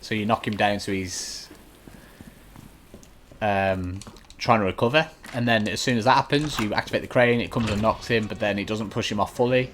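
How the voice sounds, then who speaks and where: A man's voice: on the low side, steady tone, normal speed, fairly bright tone, slight background noise. A man giving casual walkthrough commentary on a video game as he plays. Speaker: gaming channel host.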